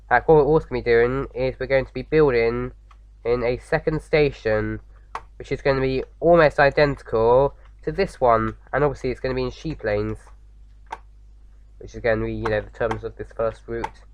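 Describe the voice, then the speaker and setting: A man with a slight sore throat, slightly croaky, chatting casually as he hosts a game playthrough, rambling with lots of fillers. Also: medium pitch, normal speed, highs cut off. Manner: rambling, many fillers and restarts. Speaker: gaming video host. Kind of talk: casual let's-play commentary.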